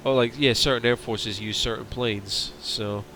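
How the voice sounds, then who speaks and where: A man in the middle of his pitch range talks through a relaxed livestream, answering viewers as he goes. His voice is straining and turning hoarse from fatigue. A faint hum sits under the voice. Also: measured pace. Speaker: flight sim streamer. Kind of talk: casual livestream chat.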